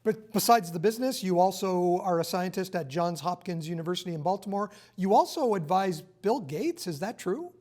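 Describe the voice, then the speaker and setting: A man's voice: sits high, lively intonation, normal speed, few pauses, a little mellow, faint music behind. Middle-aged man hosting an interview. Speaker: TV interview host. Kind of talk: scripted broadcast interview. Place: TV studio.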